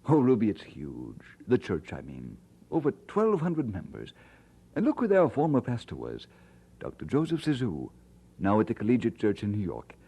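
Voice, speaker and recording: A husky, low-pitched young Scottish preacher in an audio drama. He is earnest and devoted with a playful streak, and his mellow voice swings widely in pitch. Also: wildly swooping pitch, moderate pace, heavily acted. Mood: modest, flustered. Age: young adult.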